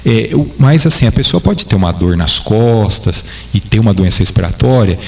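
A man's voice: on the low side, lively intonation, normal speed, gravelly, darkish tone. A man with a Brazilian Portuguese accent, a doctor answering interview questions in a patient, didactic way. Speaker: doctor guest.

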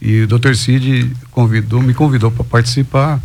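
A man from Goiânia with a Goiano accent, a businessman guest on a talk show, speaking haltingly with frequent tag questions. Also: mid-range voice, fairly even intonation, darkish tone. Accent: Goiano Brazilian Portuguese. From Goiânia, Brazil. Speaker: guest, real estate businessman. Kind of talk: casual chat.

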